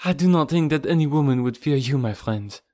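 A gentle, frightened young noblewoman, as a male narrator puts her on, her voice lifted high and soft with pleading. The reading sounds close and dry, with no room around it. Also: moderate pace, no room sound, lightly acted. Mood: tender. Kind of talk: audiobook reading.

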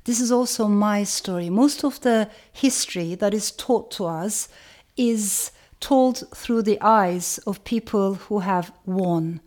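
A woman from Turkey giving a reflective educational talk, speaking in a measured way and repeating phrases for emphasis. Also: mid-range voice, a little mellow.

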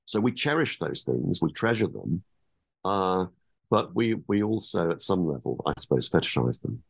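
A man's voice: deep voice, very animated, moderate pace, creaky, slightly rough, no room sound. A middle-aged man speaking as an author guest in an academic interview, reflective and hesitant, restarting his phrases often. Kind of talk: academic interview over a call.

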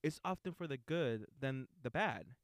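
A man with a smooth, resonant voice and a dry, close sound hosts a casual conversation about acting. He talks in a relaxed, rambling way, restarting phrases as he works out his thoughts. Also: mid-range voice, normal speed, no echo. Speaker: host.

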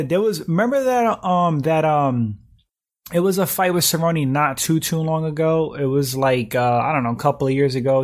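A slightly hoarse man in his thirties, hosting a livestream and chatting casually while reading out viewer comments, often clearing his throat. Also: medium pitch. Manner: relaxed slangy talk, frequent throat clearing. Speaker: livestream host reading chat. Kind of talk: casual chat.

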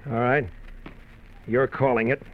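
A man's voice: mid-range voice, little pitch movement, measured pace, lightly acted. An actor playing a nervous, cornered man who hesitates as he speaks, in 1940s radio style. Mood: defeated. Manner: hesitant, uneasy. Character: nervous cornered man trying to get away. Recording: muffled, noisy old radio recording.

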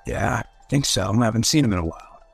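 An actor playing a rough, swaggering ghoul mayor of a seedy town, slangy and irreverent, in a radio drama. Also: male voice, fairly low pitch, fast talker, dry recording, soft background music, heavily acted. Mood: gruff, irritated. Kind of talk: old-time radio drama acting.

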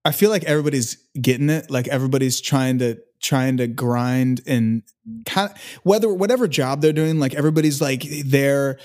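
A man's voice: medium pitch, brisk, a little mellow. A man hosting a casual chat, talking in a rambling way full of "like" and "you know". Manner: rambling, filler-heavy, says "dude" and "like".

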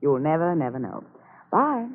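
A woman's voice: deep voice, very animated, normal speed. A woman playing a sly, self-assured female lawyer, arch and pushy, in a 1950s radio drama. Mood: coy. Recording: tinny old radio recording.

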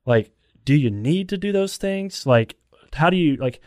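A man's voice: a little high, animated, unhurried, a little mellow, no echo, studio quality. A man hosting a casual podcast conversation, asking questions in a loose, conversational way. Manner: conversational, questioning, with many "like" fillers.

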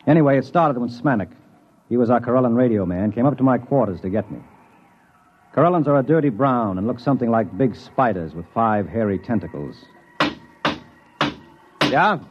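An actor playing an arrogant, edgy space exploration veteran with a gruff, husky voice, in 1950s radio drama style. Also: male voice, mid-range voice, expressive, moderate pace, pauses often. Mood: edgy, brooding, then irritated, dismissive. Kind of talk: 1950s radio acting with first-person narration. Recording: dull lo-fi old radio recording.

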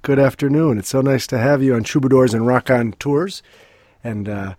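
A man hosting a music interview podcast, sounding stuffy from a cold and reading his guest's background in a measured, formal way. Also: medium pitch, a little mellow, dry recording, studio quality. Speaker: podcast host.

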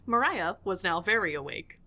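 A woman's voice: mid-range voice, lively intonation, normal speed, bright, forceful tone. A woman narrating a scripted audio drama in a storytelling style.

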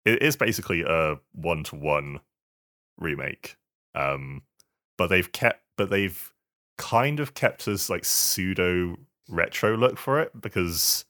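A man's voice: low-pitched, lively intonation, slow, pushed and bright. A man chatting casually about video games as a podcast co-host, often stammering and repeating words as he goes. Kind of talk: casual video game chat.

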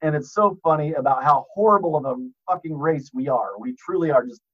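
A man's voice: fairly high pitch, animated, brisk, phone-quality sound. A man on a podcast as a guest, telling rambling, profane stories and laughing at his own jokes. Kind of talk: casual podcast chat, storytelling.

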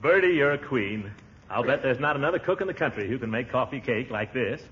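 An actor playing a pompous, blustering middle-aged uncle in a 1940s radio comedy. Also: male voice, mid-range voice, animated, brisk, a bit bright, close to an everyday voice. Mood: genial. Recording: muffled, hissy old radio recording.

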